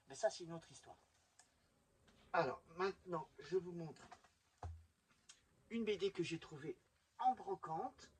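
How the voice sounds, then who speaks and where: YouTube collector host. Very rough, husky voice at a medium pitch, rambling fan delivery, casual show-and-tell.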